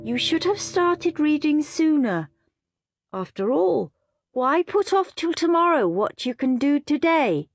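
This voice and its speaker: A woman's voice: sits high, sing-song, unhurried, no echo, soft background music, pitched above the performer's own voice, exaggerated voice. A woman voicing a whiny, smug, goody-goody little boy in a storybook reading. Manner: prissy and self-satisfied.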